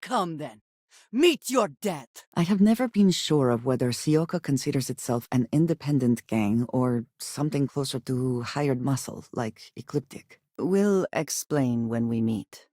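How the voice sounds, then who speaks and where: A woman playing a guarded, solemn, devout space adventurer in a video game, speaking scripted lines with formal, measured diction. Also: rumbling low, expressive, mellow, no room sound, studio quality, heavily acted. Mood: combat urgency.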